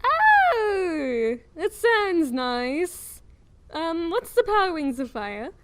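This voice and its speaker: A woman voicing a vain, bubbly, naive young dragon princess in an airy, girlish way for an animated fan dub. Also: very high voice, extremely slow, exaggerated voice. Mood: curious, breezy. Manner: airy, self-satisfied, girlish.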